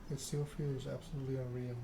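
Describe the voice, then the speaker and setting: A man softly narrating a story game in ASMR style, reading in a measured, deliberate way. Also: mid-range voice, level tone. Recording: clearly noisy background ambience.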